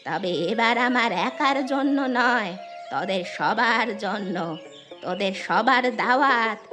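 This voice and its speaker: A woman's voice: fairly high pitch, expressive, a little slow, pushed and bright. A woman voicing a kind, plain-spoken old village grandmother in a fairy-tale cartoon. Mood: cheerful, homely. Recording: music behind the voice.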